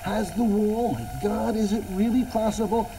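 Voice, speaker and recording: A man narrates dramatically in a high, excited voice. It sounds like an archival documentary soundtrack, with clear noise behind the words. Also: normal speed.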